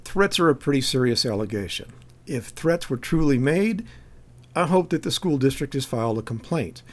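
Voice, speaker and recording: A man's voice: mid-range voice, lively intonation, normal speed. An American man giving critical spoken commentary in an emphatic, insistent, argumentative way. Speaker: activist commentator.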